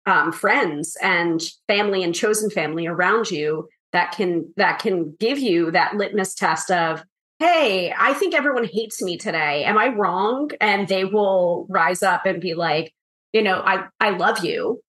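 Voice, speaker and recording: A woman's voice: medium pitch, expressive, a little slow, a bit bright. A woman co-hosting a podcast, talking in a supportive, casual way. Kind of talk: casual chat.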